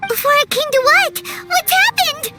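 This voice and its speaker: A woman voicing a small, excitable female fairy companion who refers to herself by name, in a video game. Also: extremely high pitch, normal speed. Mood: bubbly. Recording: game audio with loud music.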